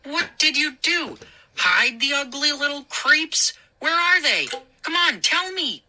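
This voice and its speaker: A big neighbourhood bully of a boy from an audiobook, played by a woman. The voice is sharp and forceful, raised high above the narration. The delivery taunts and demands, full of menace. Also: very animated, takes it slowly, exaggerated voice. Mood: accusing. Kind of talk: audiobook character dialogue.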